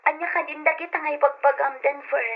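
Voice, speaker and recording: High-voiced flustered wife, muffled radio. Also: female voice, little pitch movement, quick pace, faint music behind. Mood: startled. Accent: Ilocano. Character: flustered wife, defensive and pleading. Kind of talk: Ilocano radio drama acting.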